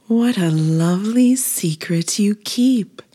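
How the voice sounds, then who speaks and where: A woman's voice: mid-range voice, lively intonation, slow, warm tone, breathy edge, pitched above the performer's own voice. A woman voicing a curious, cheerful little female bumblebee in a children's story, full of eager wonder. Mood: wonder, delight.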